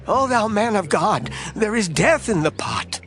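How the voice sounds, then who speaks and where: Man acting high-voiced, anxious servant. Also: sing-song, unhurried, fairly bright tone, faint music behind, exaggerated voice. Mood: alarmed. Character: anxious servant, alarmed and incredulous. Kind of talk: dramatized scripture acting.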